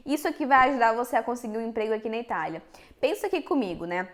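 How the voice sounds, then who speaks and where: A young Brazilian woman with a Minas Gerais accent and a high, bright-sounding voice. She talks frankly to her viewers in a relaxed vlog, addressing them directly the whole time. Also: racing. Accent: Minas Gerais Portuguese. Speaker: vlogger. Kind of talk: casual chat to camera.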